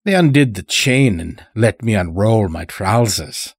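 Man voicing a drawling old farmer, folksy and raspy. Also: on the low side, lively intonation, unhurried, no echo, clean studio sound, heavily acted. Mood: folksy, placating. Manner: drawling, dragging words out, folksy.

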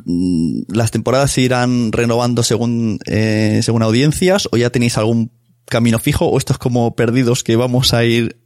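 A man's voice: medium pitch, normal speed, darkish tone, no room sound, clean studio sound. A man from hosting a casual interview in a rambling, informal way. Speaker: interviewer, podcast host.

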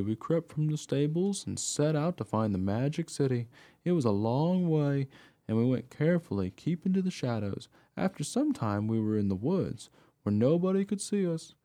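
A man's voice: medium pitch, warm tone, close to an everyday voice. A man playing an honest pet dog who tells his own tale in a radio play, earnest and plain-spoken. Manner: plain-spoken, artless storytelling. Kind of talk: first-person radio play narration.

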